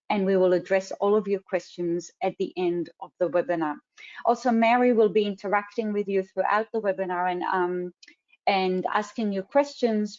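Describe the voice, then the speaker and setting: Israeli-accented woman in her forties, webinar host, measured delivery with fillers, slightly dull call audio. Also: mid-range voice, a little mellow. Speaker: webinar host and career coach. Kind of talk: webinar introduction. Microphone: computer mic on a video call.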